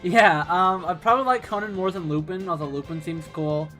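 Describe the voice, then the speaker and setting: A man on a casual podcast, excitable and prone to swearing and giggling. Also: high voice, fairly quick, soft background music. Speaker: co-host.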